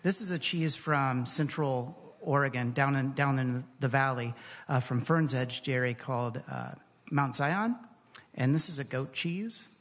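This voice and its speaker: A man's voice: medium pitch, a little slow, a bit bright. A man speaking as an interview guest, explaining things in a casual, informal, easygoing way. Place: large hall, live radio show. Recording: reverberant live hall.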